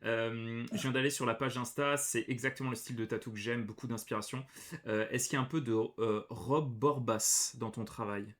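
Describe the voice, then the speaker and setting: A man's voice: mid-range voice, steady tone, moderate pace, a bit bright. A man hosting a live stream, chatting casually, greeting viewers and reading their messages aloud.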